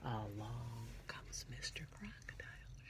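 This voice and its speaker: A woman reading a picture book aloud to young children in a theatrical, singsong manner, dropping into hushed whispers. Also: extremely low pitch, sing-song, takes it slowly.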